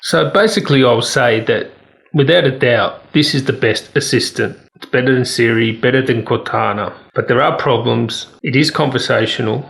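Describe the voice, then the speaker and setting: A man's voice: mid-range voice, moderate pace, vocal fry, a bit bright. A man giving a casual, opinionated product review as a tech reviewer, speaking candidly.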